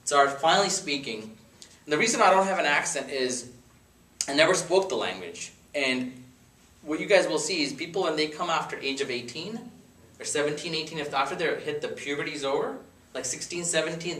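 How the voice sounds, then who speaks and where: A man's voice: fairly high pitch, lively intonation, brisk, a bit bright. Chatty man talking from across an echoey room. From India. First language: Gujarati. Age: 30s. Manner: chatty, rambling, joking. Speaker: speaker at a dinner talk. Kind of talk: casual personal talk to friends. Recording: echoey room, mic far off.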